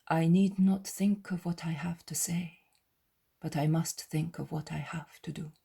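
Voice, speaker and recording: A woman reading the lines of a sorrowful, gentle male teacher in a hushed whisper. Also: low-pitched, steady tone, a little slow, creaky, husky, exaggerated voice.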